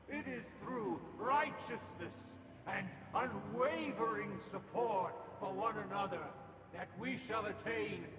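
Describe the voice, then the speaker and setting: Grandiose puppet servant for a game: solemn, slow declamation, reverberant hall. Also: male voice, high voice. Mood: grandly declaiming. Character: grandiose male puppet servant preaching righteousness to his fellows. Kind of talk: video game voice acting. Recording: echoey hall reverb with clear background noise.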